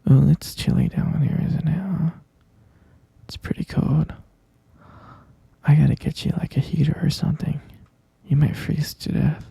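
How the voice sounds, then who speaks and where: A man playing an obsessive, doting kidnapper lover in an intimate ASMR roleplay, breathy and whispery, cooing pet names in a coaxing way. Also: a little high, steady tone, a little slow, pauses often, mellow, dry recording, heavily acted. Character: obsessive, doting male kidnapper lover, unhinged yet tender.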